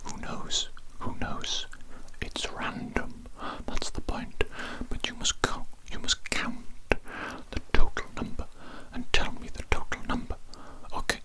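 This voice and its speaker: A fully whispered ASMR performance of an eccentric doctor who seems to be passing as human. The delivery is breathy and unvoiced, with stilted courtesy and phrases said twice over. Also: extremely high pitch, slow pace, hardly any pauses, rough recording. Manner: odd stilted politeness, repeats phrases. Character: eccentric, politely odd alien doctor posing as human. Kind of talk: whispered ASMR medical roleplay.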